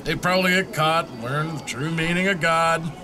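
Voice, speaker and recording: A man's voice: fairly high pitch, normal speed, music in the background, natural voice. A man playing a teasing diner regular. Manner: ribbing, folksy. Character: teasing old-timer regular at a small-town diner. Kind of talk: audio drama acting.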